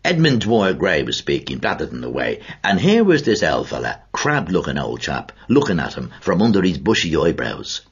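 A man doing an excitable, husky gent spinning a yarn. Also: sits low, moderate pace, hardly any pauses, highs cut off, exaggerated voice. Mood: animated. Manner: animated, exclaiming storyteller. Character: excitable middle-aged man recalling a memory.

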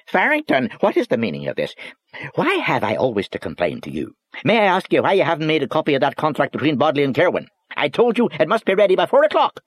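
A man voicing a shrill, bullying little male office boss with a piercing Northern Irish accent, scolding and sarcastic, in an audiobook. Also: wildly swooping pitch, quick pace, breathy edge, no echo, full character voice. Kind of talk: audiobook character voice.

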